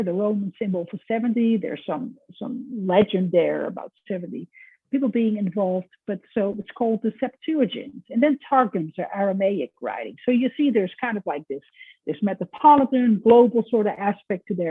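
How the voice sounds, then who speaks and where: A woman's voice: mid-range voice, expressive, breathy edge, dry recording. Dutch-accented woman lecturing over tinny audio. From Netherlands. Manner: explanatory, conversational, with filler pauses. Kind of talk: recorded lecture.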